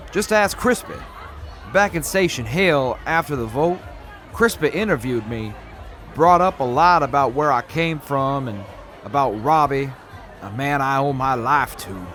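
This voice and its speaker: An actor playing a weary, measured male militia major in an audio drama. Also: medium pitch, fairly bright tone. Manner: measured, rueful.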